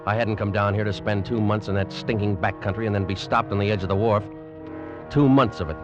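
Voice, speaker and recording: A man's voice: lowish voice, steady tone, muffled recording, lightly acted. An actor playing a determined young male traveler in a dramatic 1940s radio style, on an old recording. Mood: stubborn, bitter. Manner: intense, deliberate, dramatic. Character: determined, uneasy young male traveler telling his grim tale. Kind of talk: 1940s radio acting, dramatic first-person narration. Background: music.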